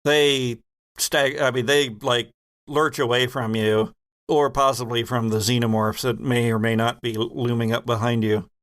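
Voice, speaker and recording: A man acting as game master, narrating a tabletop horror roleplaying game in a halting, deliberate way with vivid detail. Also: mid-range voice, little pitch movement, fairly bright tone.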